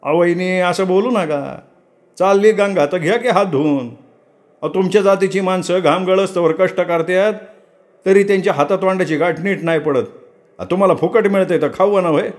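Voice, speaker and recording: A man voicing a chatty, boastful male farmhand who talks in loud, coaxing banter. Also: high-pitched, normal speed, voice pushed up, lightly acted. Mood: boisterous, coaxing.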